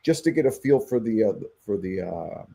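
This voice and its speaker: A man's voice: lowish voice, normal speed, creaky. A middle-aged man, an actor and touring musician, talking earnestly in a casual radio interview. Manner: earnest, animated, says "man" and "you know" a lot.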